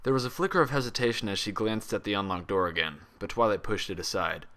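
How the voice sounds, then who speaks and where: A man's voice: lowish voice, quick pace, sharp tone. A man reading a fan fiction story aloud in dramatic audiobook-style narration.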